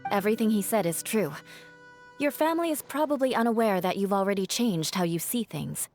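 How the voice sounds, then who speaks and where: A woman playing a firm, candid young noblewoman in a video game, composed, measured and formal. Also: mid-range voice, lively intonation, darkish tone, soft background music, natural voice. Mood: resolute, reflective. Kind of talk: video game cutscene voice acting.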